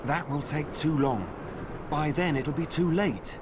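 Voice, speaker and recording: An actor playing an earnest, urgent young watch recruit in a fantasy video game. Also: male voice, a little high, animated, measured pace, noisy background. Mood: urgent, determined.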